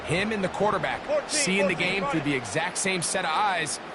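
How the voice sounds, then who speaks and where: A man's voice: high voice, moderate pace, over a noisy crowd, lightly acted. A man with an American accent giving explanatory, analytical color commentary on American football.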